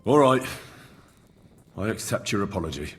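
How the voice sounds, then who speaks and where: An actor playing an earnest young blacksmith's son in a video game, speaking plainly. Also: male voice, on the low side, animated, moderate pace, slightly rough, soft background music.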